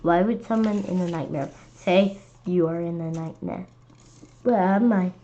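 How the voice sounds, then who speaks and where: A woman reading a children's story aloud in an expressive, animated way, occasionally stumbling over words. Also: on the low side, a little slow. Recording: dull lo-fi sound with clear background noise.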